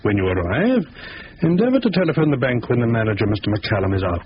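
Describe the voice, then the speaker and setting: Male actor, urbane criminal mastermind, pompous, pedantic, lively melodic delivery, old radio drama, slightly noisy. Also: medium pitch, fast talker, natural voice. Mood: scheming. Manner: pompous, precise, savouring, chuckling. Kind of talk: 1970s radio comedy-thriller acting. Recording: muffled old radio broadcast, faint noise.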